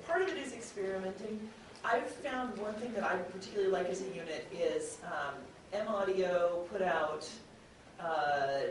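A woman talks informally as a panelist, sharing practical advice in a conversational way. Her voice is picked up from a distance in a very echoey room, with faint background noise. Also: mid-range voice.

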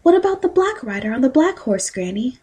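A woman's voice: sits high, big pitch swings, a little fast, a little mellow, slight background noise. A woman playing a timid, dutiful young girl in a fairy-tale audio drama, meek and polite.

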